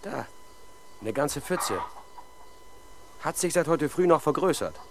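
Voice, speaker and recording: A man's voice: fairly high pitch, lively intonation, slow pace, heavy background noise. An actor playing a diligent, matter-of-fact police sergeant reporting to his superior in a radio crime drama. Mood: investigative. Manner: businesslike, reporting, persistent. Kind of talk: 1970s German radio crime drama acting.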